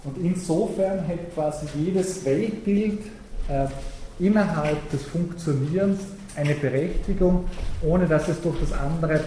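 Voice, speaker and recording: A man's voice: a little high, measured pace, dark tone. German man lecturing in an echoey room. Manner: thoughtful, hesitant, with many fillers. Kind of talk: academic talk and discussion. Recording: very echoey room, faint noise.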